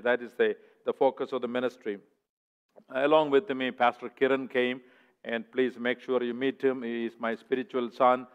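A man from southern India with a South Indian accent, preaching a sermon as a guest in a church in an earnest, halting, conversational way. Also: mid-range voice, clean tone. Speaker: guest preacher, ministry president. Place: church sanctuary. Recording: echoey church hall, dull lo-fi sound.

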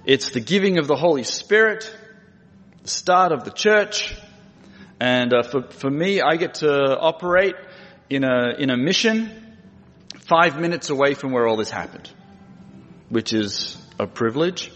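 A man's voice: on the high side, expressive, measured pace, long pauses, a bit bright. A middle-aged man with an Australian accent, preaching conversationally with dry humour and plain speech. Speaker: guest preacher and missionary. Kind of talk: conversational sermon. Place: large church room. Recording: echoey hall with long reverb.

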